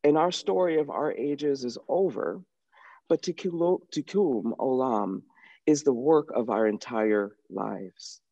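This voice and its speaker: A man's voice: medium pitch, slow pace, creaky, mellow, lo-fi sound. A middle-aged man speaking conversationally to a congregation.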